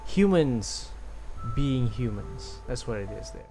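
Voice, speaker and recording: A man with a medium-pitched voice streams a game, reading lines and commenting casually. His delivery is slow and halting, with game music in the background. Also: expressive. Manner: hesitant, halting. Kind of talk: casual stream commentary and dialogue read-aloud.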